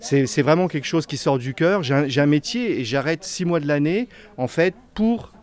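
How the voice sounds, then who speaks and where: A middle-aged man, the founder of a charity, answering interview questions in an earnest, casual way, often repeating words and filling pauses. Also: on the high side, animated. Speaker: association founder.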